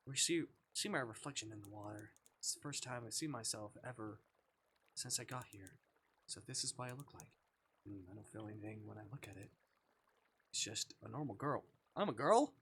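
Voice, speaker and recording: A man's voice: mid-range voice, a bit bright, slightly rough. A man voicing an uneasy, confused young woman who narrates a visual novel in the first person, over music. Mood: startled.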